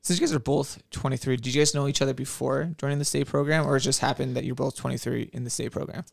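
A man with a very gravelly, creaky voice hosts a casual interview podcast. His pitch sits in the middle range, and the sound is close and dry. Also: fairly even intonation, fast talker, darkish tone, no echo. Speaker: podcast host.

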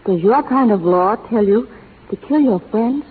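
Vintage radio heroine: refined young schoolteacher, principled, earnest and dramatic, husky, on tinny old recording. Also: female voice, fairly high pitch, animated, a little slow. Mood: anguished, defiant. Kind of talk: 1930s radio melodrama acting.

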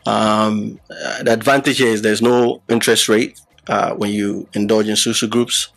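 Low gravelly guy on a podcast, lots of ums and restarts, explaining stuff. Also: level tone, a bit bright. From Ghana. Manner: halting, many fillers and restarts. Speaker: podcast guest, app founder. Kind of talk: casual explanatory interview talk.

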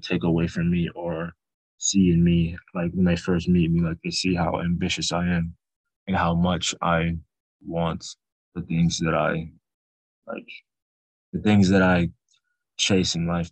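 Very deep-voiced teenage boy. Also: husky, no room sound, poor audio. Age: teens. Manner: relaxed, rambling storytelling. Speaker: student. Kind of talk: casual group conversation.

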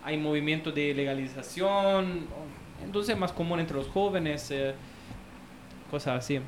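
A young man with a fairly high voice talks slowly and thoughtfully, often pausing and restarting. A faint hiss sits under the recording. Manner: hesitant, thoughtful, uses vos. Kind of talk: casual chat. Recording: faint background noise.

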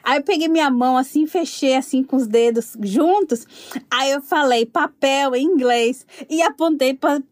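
A woman from Brazil in her thirties, telling her story in a casual interview, animated and laughing often. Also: high-pitched, moderate pace, dry recording.

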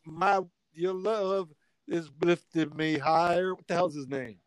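An older man born in Italy, a comedian telling stories in a casual chat, animated and full of restarts. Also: a little high, unhurried, clear, resonant tone, no echo. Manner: animated storyteller, many restarts and fillers. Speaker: comedian guest.

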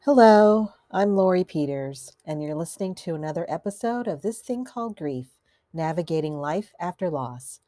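A woman who is a counselor hosting a grief podcast, talking gently and in a measured way, a little stuffy from allergies. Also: on the low side, animated, no room sound, studio quality. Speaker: podcast host and licensed counselor. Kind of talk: solo podcast talk.

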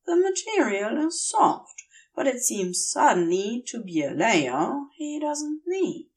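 A woman reading a story aloud as scripted narration, careful and measured, with expressive delivery. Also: on the high side, recorded from a distance. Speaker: fan fiction audiobook reader.

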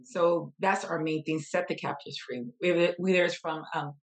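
A woman guest coach, earnest and a little halting, who is losing her voice. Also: lowish voice, fairly even intonation. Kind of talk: interview conversation.

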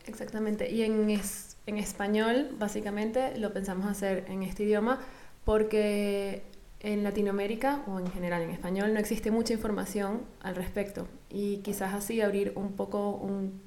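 A woman with a Venezuelan accent and a medium-pitched, rather flat and even delivery. She explains things conversationally, as a guest in an interview. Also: moderate pace. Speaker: interview guest, co-founder of a volunteer project.

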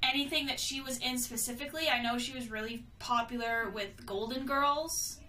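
A woman co-hosting a podcast in casual chat, upbeat and quick to cheer along, with a cough. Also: a little high, fairly even intonation, pushed and bright. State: has a cough. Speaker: podcast co-host.

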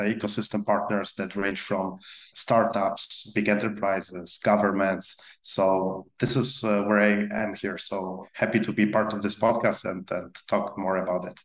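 A man with a Polish accent, a guest answering interview questions in a brisk, earnest way with occasional fillers. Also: fairly low pitch. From Poland. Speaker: guest, blockchain executive. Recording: roomy echo.

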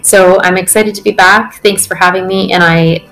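Fluent woman with a low voice. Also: fairly even intonation, moderate pace, fairly bright tone, rough recording. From Canada. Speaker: teacher facilitator. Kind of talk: prepared spoken introduction.

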